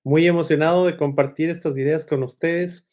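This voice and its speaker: A middle-aged man from Ecuador speaks in a fairly high voice. He talks like a guest in a casual conversation, telling things in a roundabout way and adding small tag questions. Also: fairly even intonation, normal speed, a little mellow. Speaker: podcast guest, agile consultant and coach.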